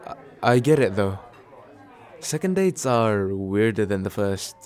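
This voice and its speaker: Slow, hesitant man acting a nervous, kind date. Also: on the low side, lively intonation, faint music behind. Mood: tender, unsure. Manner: hesitant, soft-hearted, self-deprecating, halting with small pauses. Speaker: ASMR roleplay voice actor. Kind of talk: ASMR comfort roleplay, acted as casual chat.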